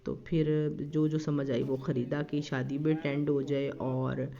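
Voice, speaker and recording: A woman's voice: rumbling low, little pitch movement, a little slow, vocal fry, mellow. Chatty woman narrating a family vlog. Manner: chatty and homely, with frequent fillers. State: slightly sore throat. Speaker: family lifestyle vlogger. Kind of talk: casual vlog voice-over narration. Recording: slightly dull lo-fi recording.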